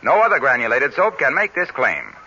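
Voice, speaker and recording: A male announcer giving a brisk, upbeat sales pitch in an old radio ad. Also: wildly swooping pitch, pushed and bright, breathy edge. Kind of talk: radio ad read. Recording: dull old radio broadcast, faint hiss.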